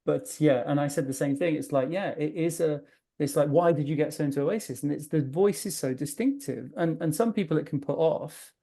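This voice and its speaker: A man hosting a podcast, chatting in a friendly, rambling way with quick asides. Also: a little high, creaky, mellow. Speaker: podcast host. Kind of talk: casual chat. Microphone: video call.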